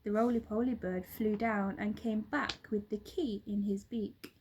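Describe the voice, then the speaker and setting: A woman reading a children's story aloud in an expressive narrating style. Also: mid-range voice, measured pace, slight background noise. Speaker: storybook reader, teacher.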